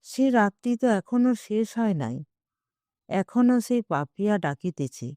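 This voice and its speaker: Slow-paced woman narrating a story. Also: medium pitch, animated, warm tone, no room sound, studio quality. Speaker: audiobook narrator. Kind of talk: literary short story narration.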